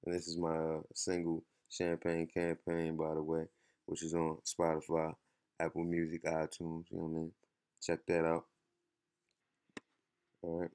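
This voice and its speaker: A man giving a casual software tutorial in a laid-back, slangy way. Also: rumbling low, completely flat delivery, normal speed, long pauses, no room sound. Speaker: music production tutorial host.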